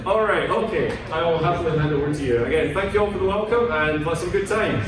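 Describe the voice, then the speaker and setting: A Scottish man rambles cheerfully through a convention panel, self-deprecating and chatty, his voice sitting slightly high. He is picked up from a distance in a big echoing hall, with steady noise around him. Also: quick pace. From Scotland. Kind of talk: casual panel talk. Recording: echoey hall, distant mic, noisy.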